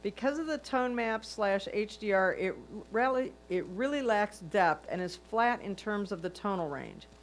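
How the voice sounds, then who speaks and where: A woman's voice: lively intonation, normal speed, few pauses. Woman reading critique over noisy hum. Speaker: reader of judges' written comments. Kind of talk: reading written critique aloud. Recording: noisy line with low hum.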